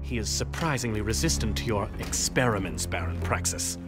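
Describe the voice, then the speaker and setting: An actor playing a cold, sneering military commander serving a tyrant in a video game. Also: male voice, lowish voice, expressive, brisk, close to an everyday voice. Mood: cold, grim. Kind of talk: video game villain acting. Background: music.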